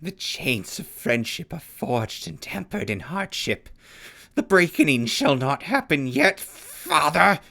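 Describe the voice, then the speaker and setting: A man's voice: a little high, very animated, slow, gravelly, sharp tone, exaggerated voice. A man voicing a fawning, manic pyromaniac clown in an audio drama, declaiming in a grandiose, florid way. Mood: dramatic. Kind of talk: post-apocalyptic audio drama acting.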